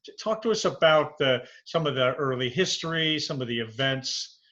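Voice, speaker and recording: A man interviews his guest over a video call, stumbling and repeating words as he sets up each question. His voice has an ordinary middle pitch. The audio sounds dull and lo-fi, missing its top end. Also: sharp tone. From Vermont, USA. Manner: halting, repeats words and fills with uh. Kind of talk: casual interview.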